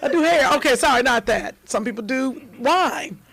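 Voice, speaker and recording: A middle-aged American woman with a deep voice, a comedian guest on a talk show who jokes loudly and breaks into animated outbursts. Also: moderate pace, creaky. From United States. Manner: loud, joking, animated with outbursts. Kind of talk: casual talk show chat.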